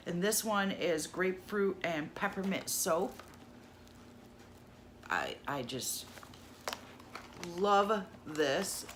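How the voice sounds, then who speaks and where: A woman's voice: lowish voice, fairly bright tone. A woman talking through a shopping haul in a casual, gushing way, losing her voice to a cold. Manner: chatty, gushing over cheap finds. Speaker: haul vlogger. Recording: audible noise in the background.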